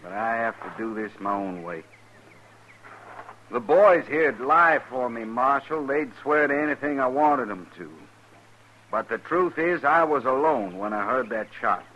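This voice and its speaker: A proud cowboy in a 1950s radio western. He is rough but earnest and plain-spoken, with a sharp, forceful voice. The old recording sounds dull. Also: mid-range voice, animated, unhurried.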